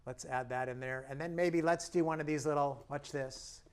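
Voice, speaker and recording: Guy demoing a painting, jokey and chatty, talks while he works, bit hoarse, voice a bit high. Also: steady tone, normal speed. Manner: chatty, self-deprecating and jokey while working; talks while painting and stepping back from the canvas. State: slightly hoarse, clearing his throat. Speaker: painting instructor. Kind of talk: casual painting demonstration.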